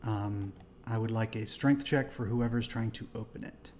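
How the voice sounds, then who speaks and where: A man's voice: on the low side, moderate pace, crowd noise. Easygoing man narrating as game master. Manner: relaxed, laughs while narrating. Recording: live stream voice chat.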